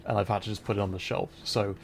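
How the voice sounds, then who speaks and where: A man's voice: fairly low pitch, level tone, fast talker, creaky, a little hiss or hum. A man livestreaming a game with casual commentary and chat, half-muttering to himself as he plays. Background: game music.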